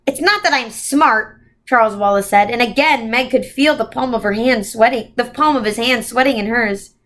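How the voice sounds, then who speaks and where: Woman reading a kids novel out loud, slightly high voice, expressive storytelling. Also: brisk. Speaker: volunteer storybook reader. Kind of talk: expressive scripted narration of a children's novel.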